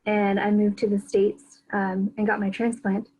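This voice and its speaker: A woman in her thirties from Argentina, a guest on a casual chat, speaking softly and a little hesitantly. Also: mid-range voice, flat delivery, measured pace, husky, rough recording. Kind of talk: casual video-call chat. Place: home in Texas.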